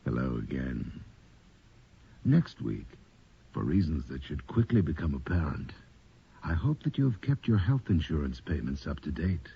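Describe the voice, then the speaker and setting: An actor playing a sinister, darkly wry horror host on the radio, with a gravelly, husky voice. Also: male voice, a bass voice, sing-song, normal speed, full character voice. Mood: ominous, wry. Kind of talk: 1980s horror radio hosting. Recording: muffled old radio broadcast.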